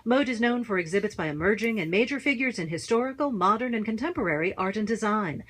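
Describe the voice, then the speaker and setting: A female announcer reading a scripted radio promo in a smooth, even way. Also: mid-range voice, fast.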